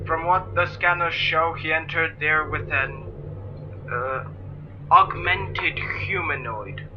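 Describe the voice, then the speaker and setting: An actor playing a formal, earnest council official in an audio drama, speaking courteously. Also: male voice, fairly high pitch, slow, muffled recording, noisy background. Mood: grave.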